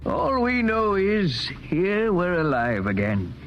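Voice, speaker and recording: A man's voice: high-pitched, huge pitch swings, takes it slowly, exaggerated voice. A man acting as a serene, folksy old grandfather in an old-time radio drama. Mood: serene, amused. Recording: dull, slightly noisy old radio recording.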